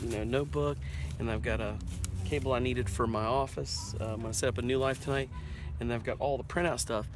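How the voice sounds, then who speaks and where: A man's voice: mid-range voice, fairly even intonation, a little fast. A middle-aged man talking casually and folksily to camera as an upbeat family vlogger and volunteer pastor, rambling as he goes. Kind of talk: casual vlog talk to camera. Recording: clear car and street noise behind.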